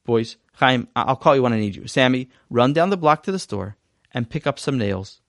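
A man voicing a calm, weary father who sighs and stays patient. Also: medium pitch, moderate pace, no echo, clean studio sound. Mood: exasperated.

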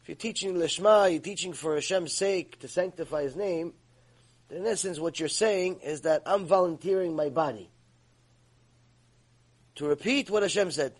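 A middle-aged man teaches Torah to a class. He talks with lively energy, repeating words and filling pauses as he works through his points. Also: a little high. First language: Hebrew. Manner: animated, repeats words, fillers. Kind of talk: Torah lecture.

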